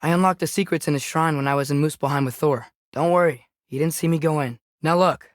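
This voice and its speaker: A man's voice: a little high, fast talker, no room sound, clean studio sound, close to an everyday voice. A young man voicing an earnest, curious teenage boy in a video game, speaking naturally and conversationally. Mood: serious, reflective.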